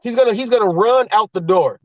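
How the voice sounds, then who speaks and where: A man's voice: sits high, brisk, no echo, far from the mic, highs cut off. A man hosting a talk show, blunt and heated, swearing and repeating himself as he argues. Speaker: host.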